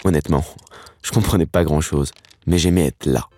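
A man, narrating his own story from a script in a wry, slangy way with dramatic pauses. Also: very deep voice, mellow, faint music behind. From Paris, France. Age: 30s. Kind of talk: scripted first-person narration.

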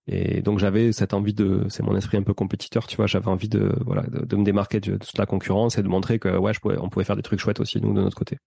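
A man's voice: on the low side, level tone, fast, gravelly, hardly any pauses, dark tone, slightly rough, dry recording, clean studio sound. A man speaking as a podcast guest in a casual interview, explaining his investing experience in an informal, slangy way. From Portuguese family background.

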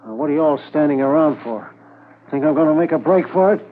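An actor in a 1950s radio drama playing a tired, sneering wounded bank robber under arrest. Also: male voice, on the high side, animated, fast, natural voice. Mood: taunting. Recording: lo-fi 1950s radio transcription, faint hiss.

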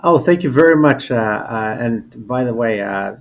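A man in his forties from Albania, a native Albanian speaker, telling his personal story as an interview guest in a halting, repetitive way. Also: mid-range voice, expressive. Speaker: interview guest, corporate lawyer. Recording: noticeable background noise.

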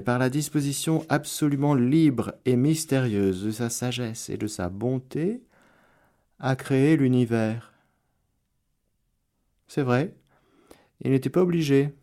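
A male priest giving a radio sermon, preacherly, rising to loud exclamations and dropping to hushed prayer. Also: mid-range voice, vocal fry. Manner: slow, deliberate delivery. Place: radio studio.

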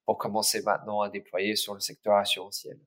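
Irish-accented man, native English speaker, lowish voice, hesitant guest answers. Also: monotone, brisk, fairly bright tone. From Ireland. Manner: hesitant, many fillers and restarts. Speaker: startup cofounder, guest. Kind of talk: casual interview answers.

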